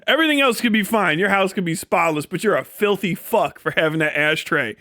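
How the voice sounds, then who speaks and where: A man with a high-pitched, sharp voice rambles to a live chat. His delivery is casual and animated, full of profanity, folksy slang and comic exaggeration. The sound is dry and close, without room echo. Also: a little fast. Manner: animated storytelling with exaggerated descriptions. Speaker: live streamer.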